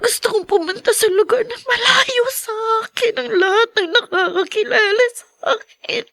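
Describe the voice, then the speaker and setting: Betrayed young woman, very high bright voice, slow and tearful, dry sound. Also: vocal fry, dry recording, full character voice. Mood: sobbing despair. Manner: sobbing, bitter and despairing. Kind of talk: radio drama acting.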